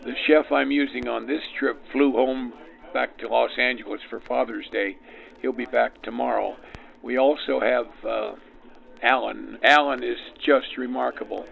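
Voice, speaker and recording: A man giving unscripted explanations in an interview, in measured, halting phrases, with a vintage 1940s radio effect. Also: mid-range voice, steady tone, creaky. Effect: vintage 1940s radio filter. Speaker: private railcar host, interview guest. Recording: tinny narrow-band old radio sound.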